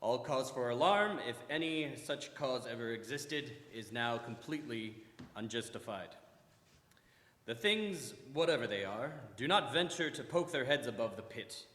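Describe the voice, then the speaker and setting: In a reverberant hall, a man acts out an army captain giving a battlefield report. The male voice sits at a medium pitch and plays to the room in the manner of live radio drama. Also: expressive, normal speed, fairly bright tone, close to an everyday voice. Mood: confident, dismissive. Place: live performance in a church. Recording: echoey church hall live performance.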